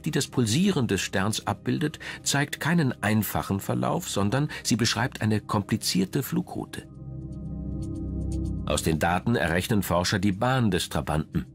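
A man's voice: on the low side, vocal fry. A man reading scripted documentary narration as the narrator.

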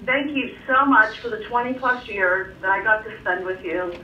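A woman's voice: mid-range voice, normal speed, distant mic, a little hiss or hum. A woman giving a bubbly, emotional farewell to a longtime colleague over a video call. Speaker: coworker giving a tribute. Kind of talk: farewell message. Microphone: video call on room speakers. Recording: muffled call speaker, echoey room.

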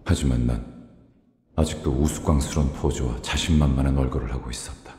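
A man's voice: rumbling low, steady tone, a little fast, dark tone. A man playing a weary, self-mocking war veteran narrating his nightmare in a wry, brooding way. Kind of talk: scripted inner-monologue narration. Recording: echoey long reverb, noisy ambience.

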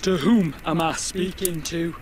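A man's voice: on the high side, fairly even intonation, a little slow, lightly acted. A man playing a menacing, lecherous old military ghost in an audio horror drama, pompous and theatrical. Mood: pompous, brusque. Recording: heavy noise behind the voice.

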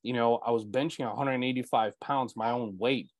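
A man's voice: medium pitch, fairly even intonation, normal speed, no echo. Man, 40s, Bulgarian native speaker, earnest, reflective, filler-heavy, dry close sound, earbud mic on video call. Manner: earnest, full of "you know" fillers. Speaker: podcast host. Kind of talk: reflective interview storytelling.

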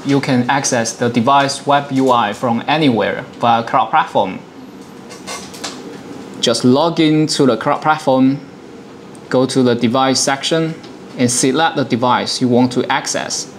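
Man presenting software step by step, noisy recording. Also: mid-range voice, measured pace. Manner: explanatory, step-by-step instruction. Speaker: product presenter. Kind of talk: software demonstration in a two-person dialogue.

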